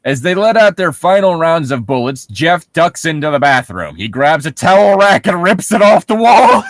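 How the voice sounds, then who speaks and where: A man with a fairly high, very animated voice reads and riffs in a casual comic way. He throws in incredulous asides as a podcast co-host. The sound is dry and close. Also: no echo. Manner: animated, quick to laugh, mock-incredulous asides. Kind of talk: casual comedic reading and riffing on a story.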